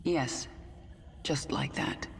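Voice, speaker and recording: A woman's voice: very slow, a little hiss or hum. An actress playing a hard, vengeful woman commander of an alien swarm in a video game, terse and commanding. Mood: cool, resolute. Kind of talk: video game character acting.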